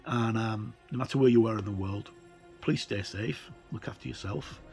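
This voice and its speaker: A middle-aged or older man giving a casual, unscripted look through a book as a tabletop game reviewer, in a rambling, hesitant way with many pauses. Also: lowish voice, gravelly, darkish tone, husky. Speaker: reviewer and presenter of tabletop games. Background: ambient music with wind sounds.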